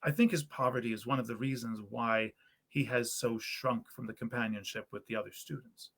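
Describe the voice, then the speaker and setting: A man voicing an earnest, good-natured young male student from a storybook, with animated, eloquent old-fashioned speech. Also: medium pitch, a little fast, gravelly. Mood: thoughtful, warm. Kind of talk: storybook character reading.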